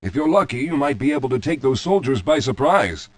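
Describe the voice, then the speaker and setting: An actor playing a weary, guilt-ridden scientist in a video game, speaking in a formal, articulate, explanatory way. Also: male voice, mid-range voice, very animated, brisk, slight background noise, lightly acted. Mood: regretful, explanatory. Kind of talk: scripted video game character dialogue. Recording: echoey hall with long reverb.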